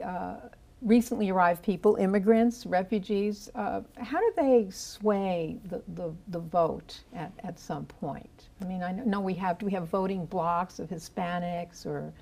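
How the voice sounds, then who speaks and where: A woman's voice: fairly low pitch, vocal fry, a little mellow. A woman hosting a panel discussion, recapping the news and putting questions to her guests. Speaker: host.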